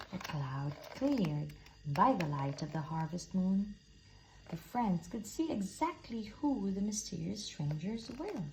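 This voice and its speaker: Female storybook reader, slow and animated with a creaky edge, faint noise in the background. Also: lowish voice, rough recording. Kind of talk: expressive read-aloud children's story narration.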